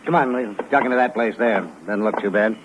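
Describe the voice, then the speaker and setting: A man playing a steady, shrewd American police lieutenant in a 1950s radio crime drama, matter-of-fact and casually in command. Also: on the low side, fairly quick, lightly acted. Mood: easygoing. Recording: muffled, hissy old 1950s radio recording.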